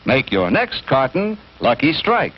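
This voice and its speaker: A man announcing a 1950s commercial as an assured American pitchman, with an emphatic, measured sales delivery. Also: huge pitch swings. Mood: assured, persuasive. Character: smooth-selling, assured male 1950s cigarette pitchman. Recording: dull, noisy 1950s broadcast.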